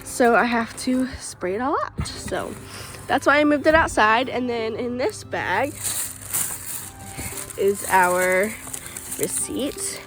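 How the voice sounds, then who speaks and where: A woman, stuffy from allergies, chatting casually and excitedly in a farm vlog recorded outdoors by a shed. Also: on the high side, slow pace, long pauses. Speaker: farm vlogger.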